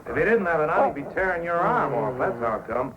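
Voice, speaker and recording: An actor playing a gruff, boastful, mean-tempered dog racer in an old-time radio drama, combative and sneering. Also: male voice, fairly high pitch, sing-song, brisk, a crowd in the background. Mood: gruff boasting. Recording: muffled, noisy old radio recording.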